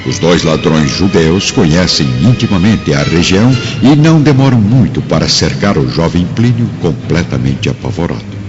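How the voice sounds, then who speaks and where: A man's voice: low voice, big pitch swings, moderate pace, hardly any pauses, big-room sound, lo-fi sound, loud music behind the voice. A man with a Brazilian accent giving solemn, dramatic scripted narration for a radio drama.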